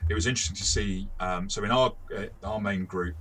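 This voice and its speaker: A man co-hosting a casual review discussion, explaining things in a measured way. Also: on the low side, a bit bright, a little hiss or hum. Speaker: co-host and game master.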